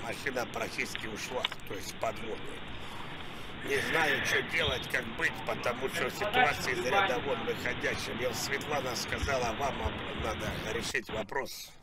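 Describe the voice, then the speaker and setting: A man's voice: medium pitch, moderate pace, very noisy, close to an everyday voice. A man voicing a stubborn, rambling old truck driver in a sweary, pleading prank phone call. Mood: weary pleading. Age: older. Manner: rambling, sweary, muddled complaining. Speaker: prank caller.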